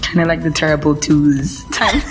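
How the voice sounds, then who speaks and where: A woman's voice: low voice, moderate pace, faint music behind. A woman in her twenties co-hosting a podcast in casual chat, with a dry, teasing way of talking. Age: 20s. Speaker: podcast co-host.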